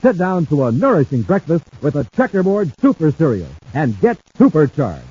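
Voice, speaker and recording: Punchy old-time radio announcer, muffled sound. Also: male voice, a little high, wildly swooping pitch, normal speed, warm tone, slightly rough, heavily acted. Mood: hearty selling. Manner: punchy, emphatic delivery. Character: hearty, dramatic space serial announcer. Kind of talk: 1950s radio ad and story narration.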